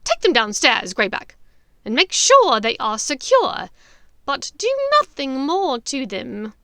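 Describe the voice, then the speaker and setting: A high, forceful villainess for an audiobook, acted out by a woman narrator. She is a fanatical dark witch who snaps out imperious orders. Her pitch leaps about, menacing and unhinged. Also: sing-song, slow, dry recording, studio quality, full character voice. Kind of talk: audiobook character acting.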